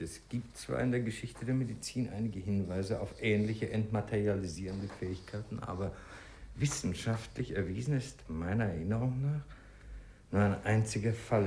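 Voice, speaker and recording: Man playing an urbane, lowish-voiced, inquisitive doctor. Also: warm tone, natural voice. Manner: courteous, probing, slightly pompous. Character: urbane, inquisitive male doctor, genial and self-assured. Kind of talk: 1950s German radio play acting. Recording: 1950s radio play recording.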